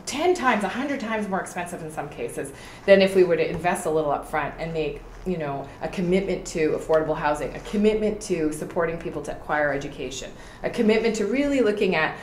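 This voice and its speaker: A woman's voice: lowish voice, animated, brisk, darkish tone. A middle-aged woman, a political candidate, giving passionate, emphatic interview answers. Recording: echoey room with faint noise.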